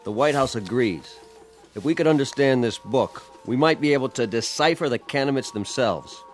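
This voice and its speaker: An impatient government official, played by a man in a radio drama. His voice sits in the middle range and he speaks briskly, with faint music behind. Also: mid-range voice, expressive, close to an everyday voice.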